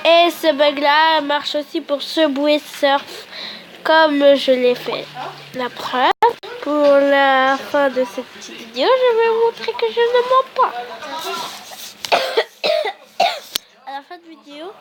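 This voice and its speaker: High-pitched woman with a cold. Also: takes it slowly, long pauses, a little hiss or hum, rough recording. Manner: chatty and rambling, often correcting herself. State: has a cold with a sore throat. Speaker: YouTuber. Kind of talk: casual how-to tutorial.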